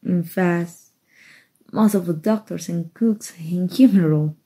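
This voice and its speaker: A woman acting a kind, earnest human rescuer in a soft ASMR roleplay, in a gentle, reassuring manner. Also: lowish voice, a little slow. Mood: gentle, helpful. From Mexico. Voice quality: soft, breathy, husky.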